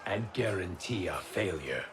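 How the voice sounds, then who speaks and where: A man's voice: low voice, lively intonation, unhurried, a loud crowd. A man playing a scheming, urbane conspirator with theatrical delivery in a video game cutscene. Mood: conspiratorial. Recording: loud music behind the voice.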